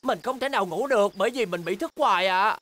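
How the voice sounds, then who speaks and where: A woman voicing a grumbling, excitable, sleepless young bear in a children's cartoon dub, with a whiny, dramatic delivery. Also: medium pitch, fast, close to an everyday voice. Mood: weary complaining. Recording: background cartoon music.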